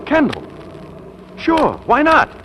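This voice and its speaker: An actor playing an exasperated, harried bridegroom desperate for a wife, in 1940s radio comedy style. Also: male voice, extremely high pitch, huge pitch swings, takes it slowly, full character voice, rough recording. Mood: pleading. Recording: old radio broadcast with loud engine noise.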